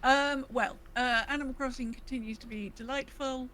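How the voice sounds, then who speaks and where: A woman with a fairly high voice chats casually as a podcast co-host. There is faint noise behind her. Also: steady tone, moderate pace, fairly bright tone. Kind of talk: casual chat.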